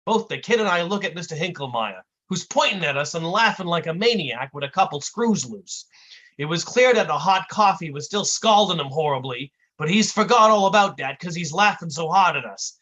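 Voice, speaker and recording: Short-tempered old-time male comic, woman performer, streetwise, growly, slangy storybook narration, big pitch swings. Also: expressive, normal speed, fairly bright tone, low-quality recording. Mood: irritated. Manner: growling, slangy tough-guy storytelling with bad grammar.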